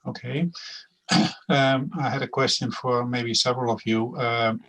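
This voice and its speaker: A man's voice: mid-range voice, steady tone, unhurried, a bit bright, low-quality recording. A man with a Dutch accent moderating questions in a webinar, speaking hesitantly with many uhs and restarts over a video call. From Netherlands.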